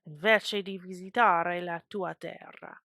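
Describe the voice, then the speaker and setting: A woman reading the lines of a sharp-tongued young woman who keeps pressing with pointed questions. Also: fairly low pitch, steady tone, a little slow, no echo, studio quality.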